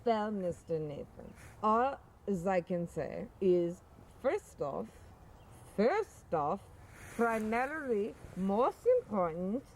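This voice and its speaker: A woman's voice: mid-range voice, expressive, takes it slowly, darkish tone, slight background noise. A woman playing a Russian carer, deferential yet stubborn, with a rambling, emphatic and formal way of talking in improvised comedy. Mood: indignant, insistent. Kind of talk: improvised comedy role-play.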